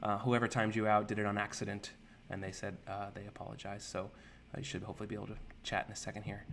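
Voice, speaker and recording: A man's voice: fairly low pitch, level tone, fast, noisy background. A young man hosting a live stream, casually reading out viewer comments and names. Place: indoor room with a virtual city backdrop.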